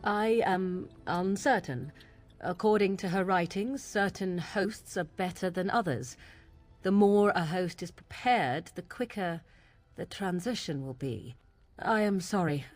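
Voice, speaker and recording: A proud young witch from a fantasy game, her voice on the low side. She speaks in solemn, old-fashioned phrases with brooding deliberation, over background music. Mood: troubled. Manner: formal archaic diction, deliberate. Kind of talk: video game dramatic dialogue.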